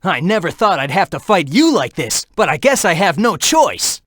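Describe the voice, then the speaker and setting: A brash young male hedgehog hero from a video game, with an animated, swooping delivery. He sounds upbeat, playful and sure of himself, urgent when he calls out, in a dry, close recording. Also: medium pitch, normal speed, slightly rough, no echo. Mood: determined.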